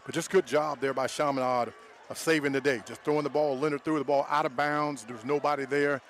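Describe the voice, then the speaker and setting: Fast-talking American male football analyst. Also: mid-range voice, steady tone, a little hiss or hum. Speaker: color analyst. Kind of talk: live football game analysis.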